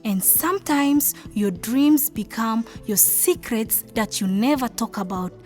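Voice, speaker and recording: Shy dreamy young wife, highish voice, earnest radio drama, bit of music. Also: female voice, lively intonation, a little slow, a little mellow. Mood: wistful storytelling. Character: timid, dreamy young South Sudanese village wife, narrator. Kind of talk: radio drama narration and acted dialogue.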